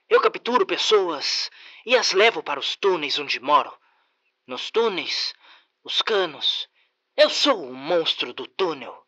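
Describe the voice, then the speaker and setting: A man's voice: fairly high pitch, very animated, takes it slowly, sharp tone, highs cut off, heavily acted. A man voicing a pleading tunnel-dwelling monster that repeats itself obsessively. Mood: insistent.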